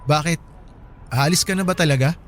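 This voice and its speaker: A man's voice: fairly high pitch, a little fast, soft background music, close to an everyday voice. A man with a Filipino accent playing an earnest, wounded young half-brother who works as a porter in a radio drama. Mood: concerned. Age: young adult. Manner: pleading, gentle. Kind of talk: dramatized radio love story.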